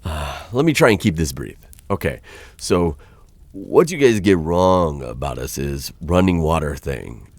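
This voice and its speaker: A man's voice: a bass voice, sing-song, unhurried, dry recording, heavily acted. A man playing a vampire captor who is dangerous yet awkwardly kind, talking in a hesitant, conversational way in an ASMR roleplay. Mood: casually instructive.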